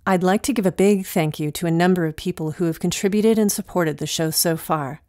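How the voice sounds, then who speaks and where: A woman's voice: lowish voice, a little fast, a little mellow, dry recording, studio quality. A woman hosting a podcast, reading scripted thanks and announcements. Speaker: podcast producer and host.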